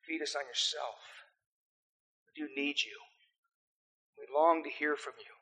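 A man's voice: on the high side, fairly even intonation, measured pace, sharp tone, husky. An older man with an American accent, a pastor preaching a sermon and praying earnestly. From South Africa. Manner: earnest, pleading in prayer. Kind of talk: sermon with opening prayer.

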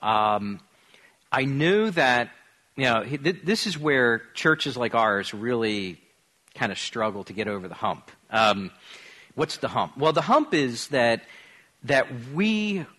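A man's voice: mid-range voice, animated, bright, forceful tone. A man speaking as a pastor, teaching informally and often repeating and rephrasing as he goes. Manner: halting, repeats and rephrases phrases. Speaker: pastor teaching his congregation. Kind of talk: informal church teaching on evangelism.

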